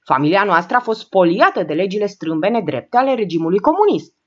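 A woman's voice: mid-range voice, big pitch swings, darkish tone, dull audio. A woman reading aloud and voicing a prim, educated woman speaking formally.

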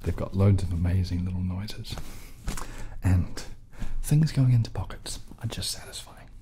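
A young man speaking softly and unhurriedly in casual ASMR style, partly whispering, with some hesitation. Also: low-pitched. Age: young adult. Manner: soft-spoken, hesitant, unhurried. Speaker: ASMR video creator. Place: small dim indoor room. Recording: clearly audible background noise, added rain.

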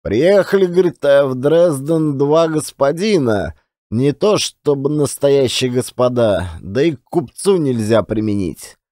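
A man's voice: medium pitch, lively intonation, dark tone, dry recording, studio quality, natural voice. A man voicing an old former billiard marker who tells his memories in folksy, colloquial turns of phrase for an audiobook drama. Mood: reminiscing. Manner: colloquial, unhurried storytelling with rustic turns of phrase.

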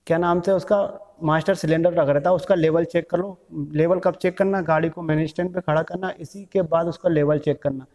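Middle-aged male mechanic instructor, slightly high pitch, repetitive tutorial delivery, reverberant workshop sound. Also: darkish tone. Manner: repetitive, instructional, checks in with "okay". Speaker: motorcycle mechanic trainer. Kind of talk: hands-on tutorial explanation. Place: large bare indoor training workshop. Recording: echoey hard-walled workshop.

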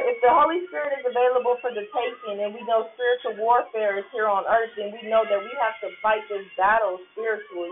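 A preacher giving a sermon, pausing often with fillers and restarts and checking in with "right?". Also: mid-range voice. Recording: tinny phone-like recording with faint music.

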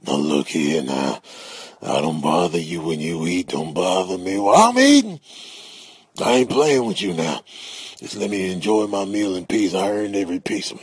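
A man voicing a big surly dog in a comedic animal voice-over, grumbling drawn-out warnings in a low gravelly voice. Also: fairly even intonation, darkish tone, no room sound. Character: big surly male dog guarding his meal.

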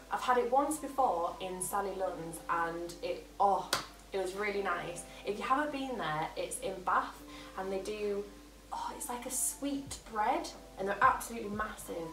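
A woman's voice: medium pitch, rough recording. A young woman from England, gushing and enthusiastic as she narrates a vlog and shows off her haul from home. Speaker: vlogger. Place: living room at home, sitting on floor.

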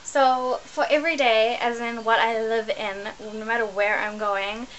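A woman's voice: a little high, steady tone, a little slow, fairly bright tone, slight background noise, poor audio. Chatty young woman on a laptop webcam. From New Zealand. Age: young adult. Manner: chatty, rambling, self-correcting. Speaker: vlogger. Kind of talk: casual chat to camera. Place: small bedroom indoors.

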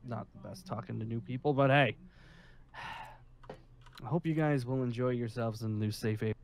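A man's voice: mid-range voice, steady tone, gravelly, natural voice. Man playing an officious, awkward safe-haven leader. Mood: awkwardly polite. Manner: formal and rule-minded, with hesitant pauses and sighs. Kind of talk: improvised Minecraft zombie roleplay.

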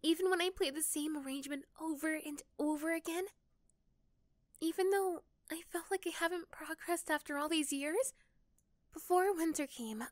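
A woman voicing a young, caring but easily flustered girl next door in an ASMR roleplay, with an emotional, halting delivery. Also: high-pitched, no room sound, clean studio sound, voice pushed up, lightly acted.

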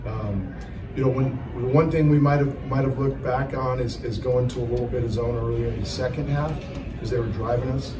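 Coach answering reporters in an echoey room. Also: male voice, medium pitch, fairly even intonation. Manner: measured, repeats words while thinking, coaching jargon. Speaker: head basketball coach. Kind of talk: postgame press conference answers. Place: press conference room. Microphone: table mic, recorded from the audience. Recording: echoey room, far from mic, noisy.

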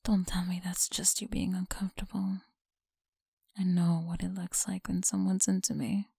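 A woman voicing a mean, teasing tsundere college bully in an intimate ASMR roleplay, creaky and often dropping into breathy whispers. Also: fairly low pitch, flat delivery, moderate pace, mellow, dry recording, clean studio sound. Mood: smug teasing.

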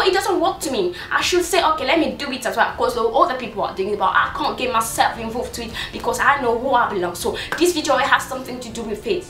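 A young woman from Congo preaches straight to camera with insistent, repeated questions. Her voice sits high and rings around a small room, a little back from the mic. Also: fairly bright tone, roomy sound, distant mic. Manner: insistent, repetitive, rhetorical questions. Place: small room indoors, seated on sofa.

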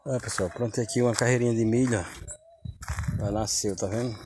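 A man's voice: mid-range voice, fairly even intonation, fairly quick. A man, casually and enthusiastically showing his sunny backyard garden on a phone camera while walking. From Northeast Brazil. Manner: enthusiastic, repeats "que maravilha" and "olha". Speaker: vlogger. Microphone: phone camera held while walking. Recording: outdoor phone audio with clear background noise.

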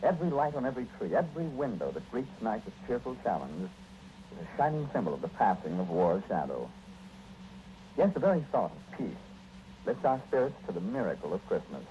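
A man's voice: lowish voice, sing-song, a little fast, dark tone, slight background noise. A man with an American accent announcing in a formal, declamatory 1940s radio style from a script. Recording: muffled vintage 1940s radio broadcast.

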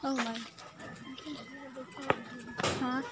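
A woman's voice: high voice, level tone, extremely slow. A young woman talking casually and cheerfully to her viewers on a travel vlog, filming herself with a phone on a rural road. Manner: cheerful, simple phrasing, repeats "guys". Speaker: vlogger. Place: outdoors on a rural road, riding. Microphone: phone camera held at arm's length. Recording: loud outdoor noise from wind and traffic.

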